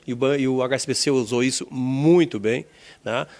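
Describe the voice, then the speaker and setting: A man's voice: mid-range voice, moderate pace, a little mellow. A middle-aged man from Brazil, an insurance executive looking back on his career in an oral history interview, reflective and leaning on key words. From Rio Grande do Sul, Brazil.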